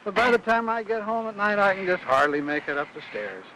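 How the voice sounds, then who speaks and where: Weary, rambling radio mailman, highish voice. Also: big pitch swings, brisk. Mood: amiable, weary. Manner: rambling, repetitive, folksy complaining. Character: weary, grumbling, garrulous mailman. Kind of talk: old-time radio character acting. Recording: noisy old radio recording.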